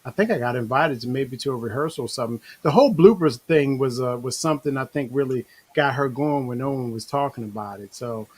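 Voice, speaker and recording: A middle-aged man hosting a casual online talk show, relaxed and rambling with many restarts. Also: medium pitch, brisk, few pauses, husky. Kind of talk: casual live-stream talk.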